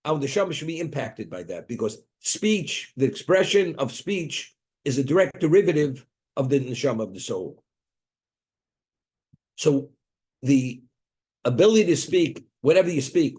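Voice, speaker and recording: A man's voice: mid-range voice, darkish tone. A man giving a religious Torah lecture, emphatic, often repeating and restarting words as he explains. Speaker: Torah teacher.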